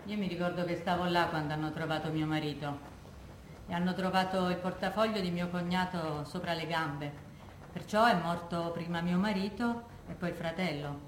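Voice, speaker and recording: A woman's voice: lowish voice, fairly even intonation, normal speed, close to an everyday voice. Female, Roman accent actress: raw, haunted widow of the people, colloquial stage monologue, roomy and noisy recording. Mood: subdued grief. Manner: colloquial, emphatic, rhetorical questions. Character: working-class Roman widow, raw, haunted by wartime massacre. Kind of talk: theatrical testimony monologue. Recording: echoey room with background noise.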